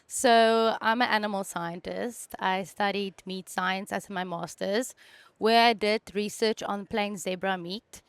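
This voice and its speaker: A woman's voice: mid-range voice, steady tone, measured pace, clean tone, no echo. A woman from South Africa whose first language is Afrikaans, a guest animal scientist chatting casually and telling stories. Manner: chatty storyteller, laughs between stories. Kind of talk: casual chat.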